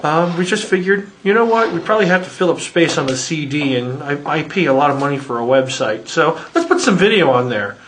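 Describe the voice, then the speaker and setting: A man's voice: fairly high pitch, lively intonation, fairly quick, few pauses, fairly bright tone, a little hiss or hum. Rambling man in an echoey room. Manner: rambling, self-deprecating, lots of fillers. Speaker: creator of the radio play. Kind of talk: behind-the-scenes casual chat. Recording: echoey room, distant mic.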